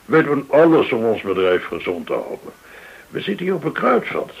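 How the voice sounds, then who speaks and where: Gravelly, courteous shady broker. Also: male voice, medium pitch, wildly swooping pitch, lo-fi sound, full character voice. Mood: smooth assurance. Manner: courteous, formal, measured, cautioning. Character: smooth, discreet male broker of shady gold deals. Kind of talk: radio play acting. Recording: hissy, noisy old radio recording.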